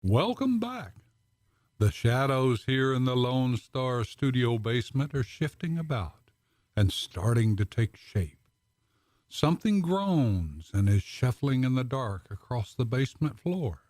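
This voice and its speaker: A man's voice: medium pitch, sing-song, measured pace, darkish tone, no echo. A male radio presenter gives a spooky scripted introduction. He takes his time over every phrase. The sound is dry and close.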